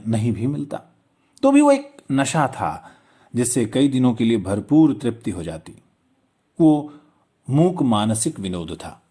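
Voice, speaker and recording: Slow, expressive male storyteller. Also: medium pitch, husky. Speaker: audiobook narrator, storyteller. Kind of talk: expressive literary story narration.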